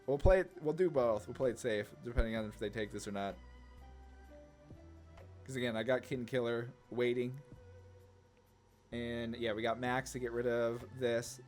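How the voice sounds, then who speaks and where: A man's voice: medium pitch, steady tone, normal speed, pauses often, a little mellow, faint music behind. A man giving casual, slangy card game commentary, thinking aloud hesitantly as he plays. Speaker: gaming channel host.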